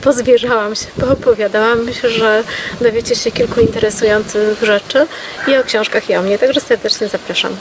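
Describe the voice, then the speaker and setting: A woman's voice: on the high side, fairly even intonation, normal speed. A woman author giving an informal recorded invitation to listeners. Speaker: author, podcast guest. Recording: clearly noisy clip with clicks.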